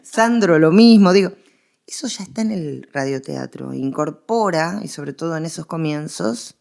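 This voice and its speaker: A woman's voice: sits low, expressive, normal speed, vocal fry, a little mellow. A woman with an Argentine accent explaining her subject in a conversational interview, stressing points with repetition and examples. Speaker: interviewed researcher of radio history.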